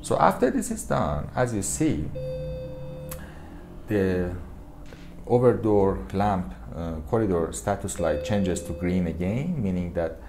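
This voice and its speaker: A man giving a hands-on product walkthrough, explaining step by step in a halting way. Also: sits low, big pitch swings, noisy background. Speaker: product demonstrator. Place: indoor room with equipment demo wall.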